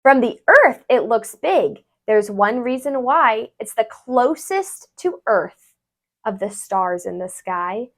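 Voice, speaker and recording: A woman tutor reading a rhyming children's book aloud, expressively and deliberately, with sing-song phrasing. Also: on the high side, lively intonation, fairly bright tone.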